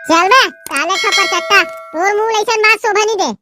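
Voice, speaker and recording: Cheeky village pal, extremely high squeaky pitch, Chhattisgarhi accent, mocking cartoon banter. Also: male voice, moderate pace, loud music behind the voice. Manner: needling, teasing banter. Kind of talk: comic cartoon dialogue.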